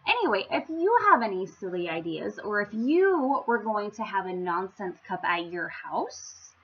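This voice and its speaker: A woman reading a storybook aloud to young children, with theatrical, playful emphasis and an expressive storytelling style. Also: mid-range voice, measured pace, husky, dull audio. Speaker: children's story-time reader.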